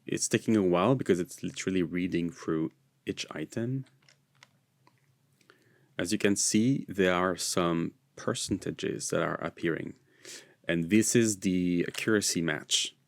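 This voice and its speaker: A man's voice: sits low, measured pace, creaky, no echo. A man who speaks English as a non-native with an American leaning, narrating a screencast tutorial in an informal, explanatory way. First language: not English. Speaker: software tutorial presenter.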